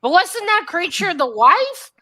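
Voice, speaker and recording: A woman's voice: very high voice, sing-song, unhurried, bright, forceful tone. A woman in a casual book discussion, retelling her reactions as she thinks them through.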